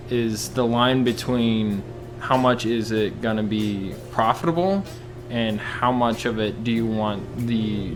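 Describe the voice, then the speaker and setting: A man with a medium-pitched voice talks as a podcast co-host in an informal discussion. Music is clearly heard behind his voice. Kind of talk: casual chat.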